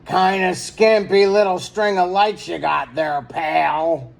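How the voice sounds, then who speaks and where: A man voicing a smug, bragging neighbor with a slow, taunting drawl in a children's storybook. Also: high voice, steady tone, vocal fry, full character voice. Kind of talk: children's storybook read-aloud.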